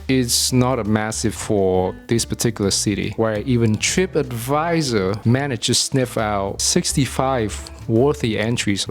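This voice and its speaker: A young man with a Vietnamese accent talks through his restaurant picks in a low, measured voice. He sounds earnest and a little formal, with the odd blunt aside. Quiet guitar music plays faintly underneath. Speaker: food reviewer. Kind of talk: scripted video narration.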